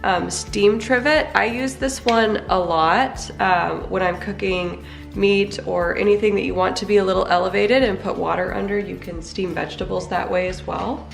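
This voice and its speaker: Casting note: excitable woman vlogger with a head cold, gravelly fry, recorded off-mic in an echoey kitchen. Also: mid-range voice, moderate pace, recorded from a distance. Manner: chatty and excitable, narrating as she unpacks. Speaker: home cooking vlogger. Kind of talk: casual unboxing vlog. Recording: echoey room, off-mic, music underneath.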